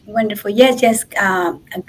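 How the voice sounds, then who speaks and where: A woman's voice: mid-range voice, moderate pace. A woman in her forties from Mexico, a native Spanish speaker with a Mexican accent, chattily telling her personal story as an interview guest. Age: 40s. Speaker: podcast guest, nonprofit president and business founder.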